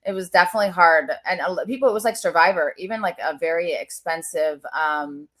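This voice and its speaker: Chatty low-voiced woman host. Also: fairly quick, a bit bright. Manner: chatty, rambling, many fillers. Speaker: webinar host. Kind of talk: casual webinar conversation.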